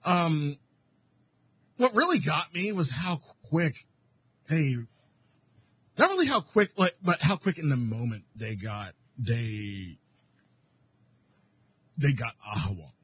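A laid-back man chatting on an online voice call, joking dryly and stopping often to restart his sentences. His husky voice rises and falls a lot as he talks. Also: on the high side, big pitch swings, slow pace, pauses often, fairly bright tone, dry recording. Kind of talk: casual group chat about a video game.

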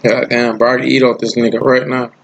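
A man's voice: medium pitch, little pitch movement, fast talker, creaky, lo-fi sound, a little hiss or hum. A man from South Carolina, talking bluntly and profanely in a casual podcast chat. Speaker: podcast co-host.

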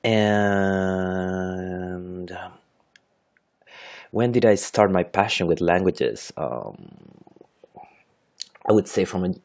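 Hesitant Chilean man, very low voice. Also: slow, gravelly, pauses often, darkish tone. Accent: Chilean Spanish. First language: Spanish. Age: 30s. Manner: hesitant, many fillers and self-corrections. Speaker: podcast host. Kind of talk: unscripted solo podcast.

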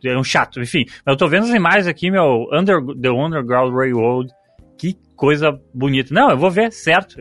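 A man's voice: mid-range voice, a bit bright, dry recording. A man, co-hosting a podcast in loose, joking casual chat and swearing freely. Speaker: podcast co-host.

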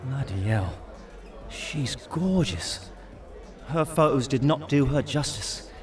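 A man's voice: medium pitch, animated, slow pace, recorded from a distance. An actor playing the mouthy, berating, panicky inner voice of an awkward British man in an audio drama. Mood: smitten. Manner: sweary, berating.

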